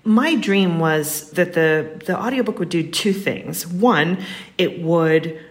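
A woman's voice: fairly low pitch, slow. A woman speaking as a writer being interviewed, earnest and emphatic, thinking aloud as she goes. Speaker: guest, writer. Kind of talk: reflective interview conversation.

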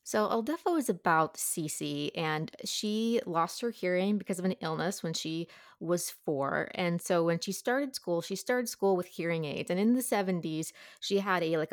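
Casting: female book reviewer, somewhat low voice, keen and conversational, dry studio-like sound. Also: moderate pace, no echo. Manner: enthusiastic, informal. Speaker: audiobook reviewer guest. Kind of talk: casual conversational book discussion.

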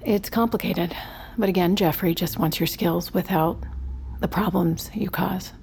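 An actress playing a desperate, guilt-ridden dying scientist in a naturalistic audio drama, with halting, hesitant delivery. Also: female voice, lowish voice, steady tone, vocal fry, a little mellow, a little hiss or hum. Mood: grave, confessional.

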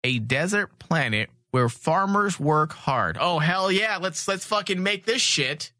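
A man hosting a casual fan podcast, bantering in a mocking, sweary, animated way. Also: on the high side, unhurried, dry recording, studio quality. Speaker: podcast host.

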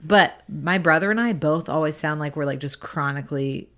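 A woman's voice: deep voice, normal speed, a bit bright. A young woman with a nasal voice, co-hosting a casual podcast chat. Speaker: podcast co-host.

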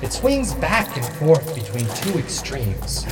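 A performer in a fantasy audio drama playing a haughty, philosophical nature spirit who lectures in a deliberate, theatrical way. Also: mid-range voice, wildly swooping pitch, over music. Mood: solemn, philosophical. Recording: distant, hall-like reverb over clear ambient noise.

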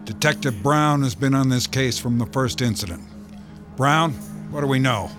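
A man's voice: medium pitch, moderate pace, music in the background, close to an everyday voice. A man playing a brusque county sheriff. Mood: commanding. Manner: brusque, commanding. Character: gruff middle-aged male county sheriff, impatient.

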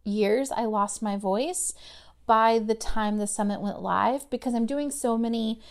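A woman's voice: a little high, unhurried. A woman in her thirties hosting a podcast, talking in a chatty, conversational way with lots of fillers, her voice a little worn from overuse. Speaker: podcast host. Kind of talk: casual podcast monologue.

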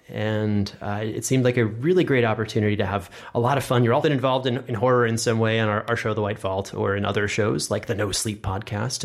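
A man of medium pitch hosts a relaxed group conversation. He talks quickly, often doubling back and interrupting himself as he explains. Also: vocal fry. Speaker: podcast producer and host. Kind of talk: casual group chat.

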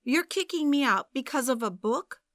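A woman's voice: sits high, animated, moderate pace, fairly bright tone, no echo, clean studio sound, voice pushed up. A woman voicing a defensive young man who apologizes while brushing off complaints. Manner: apologetic then dismissive, wheedling excuses. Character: defensive young adult man, sheepish boyfriend.

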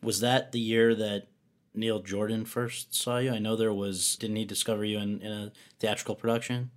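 Brief: male host, somewhat low voice, chatty, loose phrasing. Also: little pitch movement, normal speed. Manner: friendly, rambling questions. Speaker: interviewer. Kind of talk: conversational interview.

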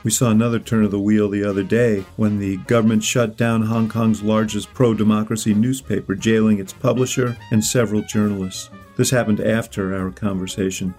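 An American man hosting an interview podcast, speaking in a measured, earnest way. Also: fairly low pitch, little pitch movement, darkish tone, soft background music. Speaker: podcast host.

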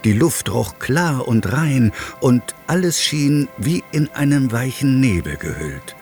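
A man narrating a children's audio drama as a wry, expressive storyteller. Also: medium pitch, takes it slowly, faint music behind. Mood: wry, storytelling.

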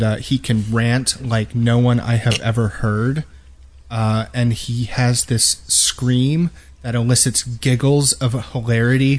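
A man's voice: mid-range voice, a little mellow. A man co-hosting a gaming podcast from a home studio, chatting casually in a rambling, self-deprecating way close to the microphone. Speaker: podcast host. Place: home studio with unpadded walls. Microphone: boom-mounted microphone close in front of mouth.

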